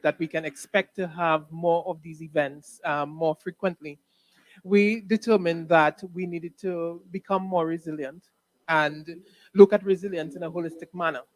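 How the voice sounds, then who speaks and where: Higher-pitched Caribbean man, measured and formal. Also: fairly bright tone, no echo, poor audio. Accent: Dominican Caribbean. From Dominica. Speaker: ambassador, panel speaker. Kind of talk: formal conference remarks.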